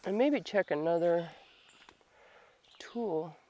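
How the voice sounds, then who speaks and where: A young woman talking casually to herself while she works at a grassy campsite, slow and thinking aloud, a little congested from a long cold. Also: on the low side, lively intonation, heavy background noise. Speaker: bushcraft vlogger. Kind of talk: casual talk while working. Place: outdoors at a grassy campsite under a tarp. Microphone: camera on a tripod a few meters away.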